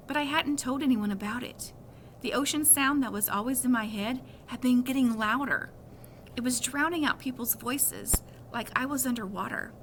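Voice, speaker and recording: A woman reads a children's novel aloud with a bright, fairly high voice. She narrates in the first person, storytelling style. There is faint noise behind her. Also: low-quality recording.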